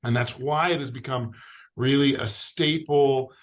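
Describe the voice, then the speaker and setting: A man's voice: mid-range voice, steady tone, a little slow. An American man answers interview questions over a video call. His voice sits in a middle range, and he explains in a friendly, rambling way with plenty of fillers. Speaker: guest expert.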